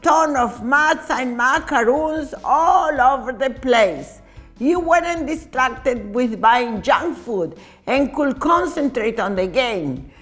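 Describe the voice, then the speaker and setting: Woman as nostalgic old man, storybook figure, friendly, chatty, slow, high-pitched. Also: lively intonation, soft background music.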